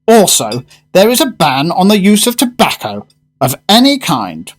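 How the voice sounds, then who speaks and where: An actor playing a knowing gourmet connoisseur in a radio drama, speaking with formal, emphatic and reverent phrasing. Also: male voice, sits high, huge pitch swings, normal speed, exaggerated voice. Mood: instructive.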